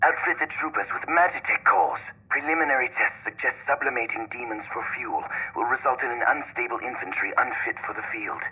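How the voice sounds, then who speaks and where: An actor playing a grandiose older imperial scientist dictating a formal research log in a video game, through a recording filter. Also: male voice, mid-range voice, brisk, hardly any pauses, sharp tone, full character voice. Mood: clinical. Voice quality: husky, raspy. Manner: formal, pompous. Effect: old recording filter. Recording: tinny, phone-like log playback.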